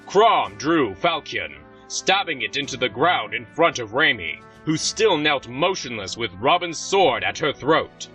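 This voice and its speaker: A man reading scripted audio drama narration in a dramatic, expressive storytelling manner. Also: medium pitch, measured pace, few pauses, recorded from a distance, rough recording. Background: music.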